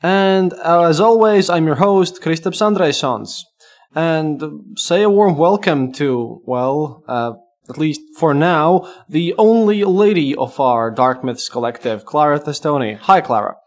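Animated, rather high-voiced male podcast host. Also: a little slow. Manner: animated, full of restarts and fillers. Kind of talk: casual podcast interview.

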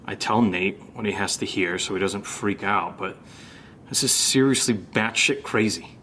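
A man's voice: fairly low pitch, moderate pace, bright, forceful tone, slight background noise. A man acting an anxious young American who plays the rational friend, in a naturalistic horror audio drama. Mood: uneasy, confiding. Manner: casual, hesitant, sweary.

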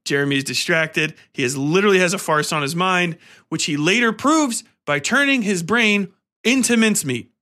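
A man speaks as a podcast host in a loose, rambling commentary style. His voice sits a bit high and has a sharp, forceful brightness. He is excitable and emphatic, with casual profanity. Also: moderate pace.

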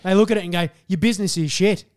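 An Australian man co-hosting a podcast in casual chat, hoarse after losing his voice, swearing and telling stories animatedly. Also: high-pitched, very fast, darkish tone. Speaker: podcast co-host.

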